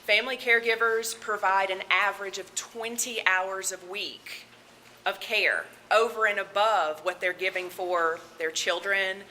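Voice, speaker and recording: A woman with a Southern accent, a medical social worker giving a talk at a conference, loud and chatty with nervous laughs. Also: medium pitch, fairly even intonation, unhurried, slight background noise, rough recording. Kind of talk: conference presentation. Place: conference room with audience.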